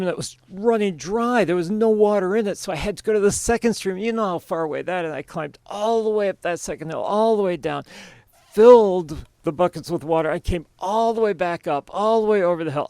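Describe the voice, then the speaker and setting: A man's voice: sits high, a little mellow, dry recording, pitched above the performer's own voice. A man voicing a flustered husband who fell asleep and rambles through excuses to his wife.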